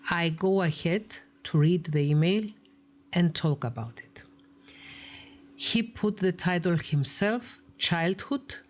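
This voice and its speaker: A woman's voice: deep voice, darkish tone. A woman psychologist with a Greek Cypriot accent, reading out a viewer's email and commenting on it in an earnest, emphatic way, sometimes dropping to a whisper for stress. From Cyprus. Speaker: psychologist and YouTube host.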